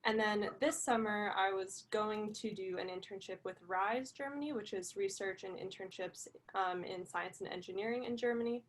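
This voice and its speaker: A woman's voice: mid-range voice, normal speed, hardly any pauses. A young adult woman giving an informal, explanatory account as a student panelist, in a slightly hesitant way.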